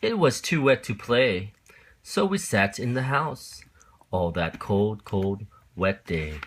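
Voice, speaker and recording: A man narrating a children's storybook as a parent would, with expressive, deliberate, rhythmic phrasing. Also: lowish voice.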